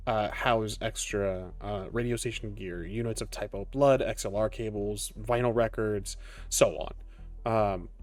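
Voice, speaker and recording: A man improvising narration as game master of a tabletop roleplaying game, often pausing mid-sentence. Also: on the low side, darkish tone. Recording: music behind the voice. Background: background music.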